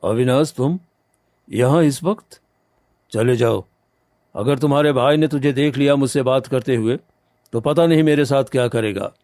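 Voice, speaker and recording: An honest, hard-up farmer and protective older brother played by a man in a cartoon story. He sounds earnest and dramatic, at an average male pitch. The recording is very dry. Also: moderate pace, long pauses, a little mellow, no echo, natural voice. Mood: anxious, shocked. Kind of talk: Hindi cartoon story acting.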